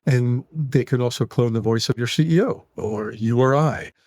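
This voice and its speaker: A man in his sixties from the United States with a New York accent, answering podcast interview questions in long, animated run-on sentences. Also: mid-range voice, normal speed, no room sound, clean studio sound. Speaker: guest psychologist.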